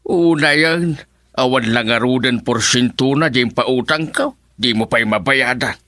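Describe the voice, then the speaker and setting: Old rural grandfather, Ilocano accent, radio drama. Stern yet caring, deliberate, with a sharp edge. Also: medium pitch, no echo. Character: elderly village father and grandfather, stern but caring.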